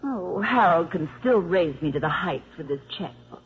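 An actress playing a brazen, scheming, moneyed ex-wife in a 1950s radio drama, theatrical and insinuating. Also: female voice, fairly low pitch, animated, moderate pace, natural voice. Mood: coolly coaxing. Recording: muffled 1950s radio recording.

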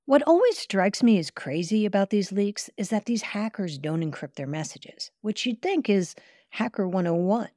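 A woman's voice: mid-range voice, expressive, normal speed, mellow, no room sound, clean studio sound. A woman hosting a cyber news podcast, reading scripted narration in a conversational way. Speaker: podcast host.

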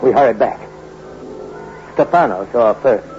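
Hard-boiled male fugitive played by a man, mid-pitched, slangy and clipped, dull vintage radio drama with prominent music. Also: expressive, moderate pace, natural voice. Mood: grim, suspenseful. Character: tough, greedy male fugitive narrating his crime tale. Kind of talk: hard-boiled 1960s radio suspense narration and acting.

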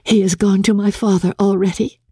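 A woman voicing a sweet, earnest young gentlewoman in a classic novel reading, formal and breathlessly dutiful. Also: medium pitch, flat delivery, brisk, slightly rough, no room sound, studio quality, voice pushed up, lightly acted. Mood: overjoyed.

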